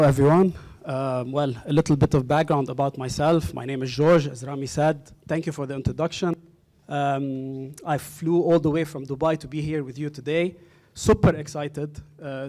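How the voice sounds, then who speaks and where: Male workshop presenter, fairly high voice, relaxed and halting, hall mic. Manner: relaxed, with frequent ums and uhs. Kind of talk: conference presentation. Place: university lecture hall. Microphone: handheld microphone.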